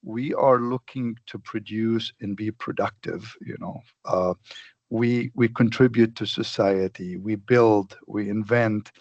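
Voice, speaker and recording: A man speaks unscripted on a video call, his voice pitched a little low. He pauses often and restarts his sentences. The sound is dull and dry. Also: dry recording. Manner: halting, many fillers and restarts. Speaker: webinar panelist. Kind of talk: unscripted remarks on a webinar. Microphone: computer mic on a video call.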